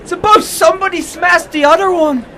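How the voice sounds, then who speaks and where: An actor playing a wry, boozy, reluctant older sidekick in theatrical 1940s radio style, drawling out wisecracks. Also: male voice, extremely high pitch, steady tone, normal speed, background noise. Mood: reluctant, fretful. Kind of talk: 1940s radio character acting. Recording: vintage 1940s radio recording with music.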